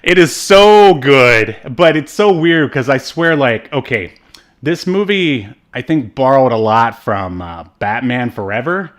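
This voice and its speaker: A man co-hosting a casual film discussion, talking in a halting, rambling way. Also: mid-range voice, animated, fairly bright tone. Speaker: podcast co-host reviewing films.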